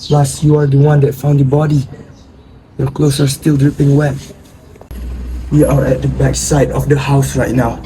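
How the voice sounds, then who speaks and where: A man's voice: medium pitch, level tone, normal speed, long pauses, mellow, a little hiss or hum, close to an everyday voice, poor audio. A man playing a cautious, reluctant man in amateur drama dialogue. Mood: reluctant, watchful.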